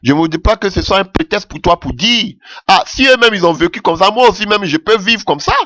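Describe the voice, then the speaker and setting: A man's voice: expressive, brisk, fairly bright tone, no room sound, studio quality. A man preaching a sermon with passion, calling out alleluia often as his voice rises. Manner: impassioned, rising pitch with frequent alleluias.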